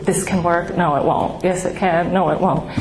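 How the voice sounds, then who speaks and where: A middle-aged woman with a small, soft voice sharing her own story of grief with an audience, halting and heartfelt with many pauses. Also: lowish voice, fairly even intonation. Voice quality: soft, small voice she calls baby-like. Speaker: speaker at a grief support gathering. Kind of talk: personal testimony to an audience. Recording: echoey room with background noise.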